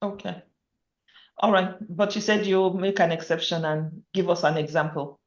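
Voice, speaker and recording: A woman's voice: fairly low pitch, fairly even intonation, normal speed. A woman moderating an informal group call, speaking a little hesitantly in short acknowledgements and questions. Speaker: meeting moderator. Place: remote online group call. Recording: roomy, dull call audio.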